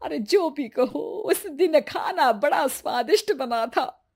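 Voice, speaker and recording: A woman acts out a middle-aged Indian mother-in-law with a high-pitched voice and a slow, drawn-out delivery. She nags and wheedles theatrically, as in a domestic family cartoon. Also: animated, vocal fry, darkish tone, full character voice. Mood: grumbling. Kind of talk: acted Hindi family story cartoon.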